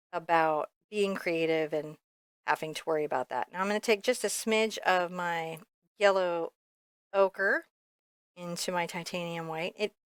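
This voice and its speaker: A woman's voice: on the low side, fairly bright tone, clear, resonant tone, no echo, studio quality. A woman giving a casual step-by-step painting lesson, thinking aloud in a candid, informal way with rambling asides. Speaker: painting instructor.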